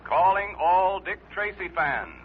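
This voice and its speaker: An American man making a formal, drawn-out vintage radio announcement in the style of a police dispatcher. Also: sits high, lively intonation. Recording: muffled vintage radio recording with clear noise.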